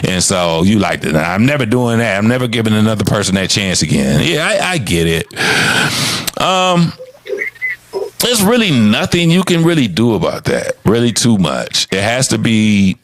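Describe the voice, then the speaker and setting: A man's voice: on the low side, big pitch swings, moderate pace, a bit bright, slight background noise. A man in his fifties, talking in a blunt, profane, frank way as a co-host of a casual podcast chat. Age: 50s.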